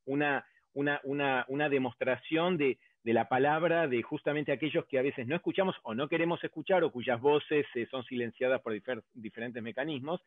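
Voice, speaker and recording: A man's voice: medium pitch, brisk, few pauses, dry recording. Argentine man on a tinny line. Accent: Argentine Spanish. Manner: hesitant, many fillers, builds arguments step by step. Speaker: academic panelist. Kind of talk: round-table discussion. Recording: dry, tinny phone-like line.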